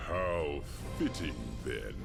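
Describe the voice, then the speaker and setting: An actor playing an ancient, cruel alien tyrant in a video game, slow and grandiose, with a deep gravelly voice and contemptuous delivery. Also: male voice, very animated, exaggerated voice. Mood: menacing. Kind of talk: dramatic video game acting. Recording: loud music behind the voice.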